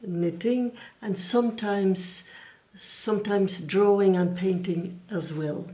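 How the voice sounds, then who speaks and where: A Dutch-speaking woman hosting her own crafts channel, greeting viewers in English in a friendly, halting way with fillers. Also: lowish voice, mellow. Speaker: YouTube crafts channel host. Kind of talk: casual vlog introduction.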